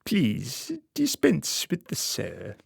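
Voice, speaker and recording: A man acting a suave, calculating political fixer in a radio play, speaking formally and deliberately with quiet menace. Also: medium pitch, wildly swooping pitch, creaky, slight background noise, heavily acted. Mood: courteous, probing. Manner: formal, measured, deliberate phrasing.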